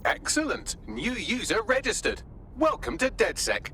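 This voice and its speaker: Dry, bright AI assistant. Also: male voice, high voice, wildly swooping pitch, measured pace, slight background noise, full character voice. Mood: pleased. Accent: British. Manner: dry, clipped, wry formal phrasing. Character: witty, dry artificial intelligence assistant, male, unflappable. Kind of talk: video game voice acting.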